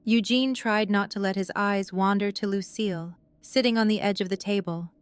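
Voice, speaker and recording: Clear, smooth woman narrating a story. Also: medium pitch, steady tone, moderate pace, no echo. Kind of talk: scripted dramatic narration of fan fiction.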